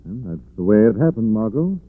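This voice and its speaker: A suave gentleman detective in a 1940s radio mystery. His lowish voice is thoughtful and measured, with expressive rises and falls. The recording is narrow and old. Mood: musing. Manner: measured, musing.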